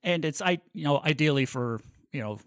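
A man's voice: on the high side, animated, fairly quick, smooth, no echo, clean studio sound. A man talking casually as a podcast co-host, rambling along enthusiastically with a joking streak.

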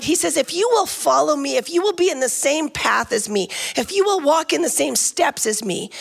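A woman's voice: sits high, vocal fry, fairly bright tone. A woman preaching a sermon, earnest and emphatic, often repeating phrases as she builds a point.

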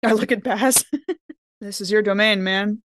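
A woman plays a tabletop role-playing game in a casual, informal way. Her medium-pitched voice is recorded dry, and she peppers her sentences with "like" as she talks things through. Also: measured pace, dry recording. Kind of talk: casual chat during a game.

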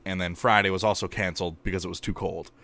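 A man's voice: sits low, fairly even intonation, fast, pushed and bright. A man co-hosting a podcast in casual chat, telling rambling everyday stories with lots of ums and likes, and he laughs at himself. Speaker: podcast co-host.

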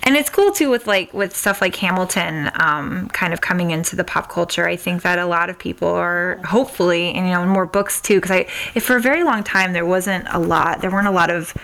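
A woman's voice: on the low side, brisk, creaky, hardly any pauses, a bit bright. A woman hosting an interview podcast, chatting casually and informally as she feels her way through her thoughts. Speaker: podcast host interviewing a guest. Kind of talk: casual chat.

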